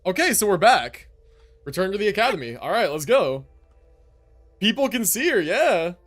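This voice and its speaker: A man's voice: high-pitched, very animated, moderate pace, a bit bright. A young man livestreaming a game with casual, laid-back, slangy commentary. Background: game music.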